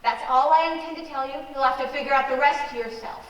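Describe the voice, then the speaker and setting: Give this the sound of a droll suspense anthology host played by a woman, high in pitch and theatrically mock-ominous. The delivery is scripted old-time radio, heard at a distance in a very echoey hall. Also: quick pace, loud music behind the voice, close to an everyday voice. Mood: droll, mock-serious.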